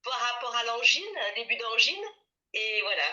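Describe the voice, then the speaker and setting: Une femme enrhumée parle en appel vidéo d'une voix haute et éclatante, au ton très clair. Elle répète ses phrases en hésitant, avec un débit bavard. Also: female voice, a little high, sing-song, moderate pace, sharp tone, muffled recording. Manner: chatty, repeats herself, laughs often. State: congested, with a head cold. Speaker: participant in a group EFT session. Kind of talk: casual chat and repeating EFT phrases. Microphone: video call.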